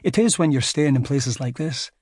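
A man's voice: mid-range voice, expressive, normal speed, darkish tone, no echo, clean studio sound, natural voice. A man voicing a mild, dryly ironic Scottish man in his thirties, with a Scottish accent. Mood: polite. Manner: polite, understated, dryly ironic.

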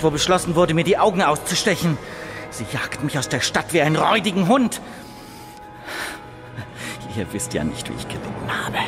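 Guy doing wretched old blind beggar swindler, highish voice, plaintive, self-pitying radio drama tale, music behind. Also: natural voice. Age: older. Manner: plaintive storytelling. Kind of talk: German radio drama monologue.

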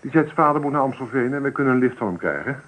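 A man's voice: medium pitch, expressive, fast, a bit bright. An actor playing a casual, breezy man in a family radio play. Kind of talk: Dutch radio play acting. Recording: faintly noisy old radio recording.

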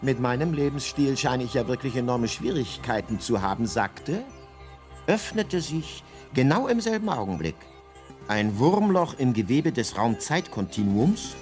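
The voice of a guidebook narrating a radio play. He is a mid-pitched man with lively, swooping intonation, grand and dry at once, with faint music behind him. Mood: solemn, dry. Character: the narrating guidebook, measured, dryly grandiose male storyteller. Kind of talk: scripted narration in a radio drama.

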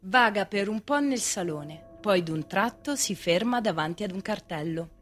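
A woman's voice: medium pitch, animated, moderate pace, lightly acted. A woman acting as the narrator of a radio drama, reading out the stage action. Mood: detached. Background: music.

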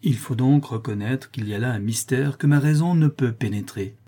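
Man acting as old male porter, storybook, pompous, grandiloquent, easily shocked, exclamatory, rough-edged husky voice. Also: mid-range voice, normal speed, warm tone, close to an everyday voice. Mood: brooding, baffled. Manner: grandiloquent, exclamatory, formal diction.

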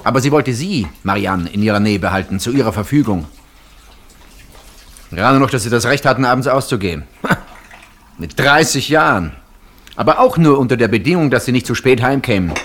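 A probing brother-in-law in a radio play, very animated lowish voice, assertive, slight noise behind. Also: male voice, normal speed. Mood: insistent, reproachful. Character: outspoken married man, probing and dismissive brother-in-law. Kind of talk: German radio play acting, naturalistic dialogue.

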